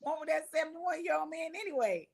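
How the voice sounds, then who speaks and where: Female podcast co-host, slightly high voice, wry quick quips. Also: animated, gravelly, a crowd in the background. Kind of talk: casual podcast banter.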